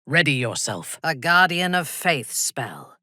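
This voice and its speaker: A woman playing a ruthless, proud female dark elf warrior in a video game, speaking in a haughty, deliberate, menacing way. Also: low voice, big pitch swings, no room sound, clean studio sound, full character voice. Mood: grave, measured. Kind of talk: video game character dialogue.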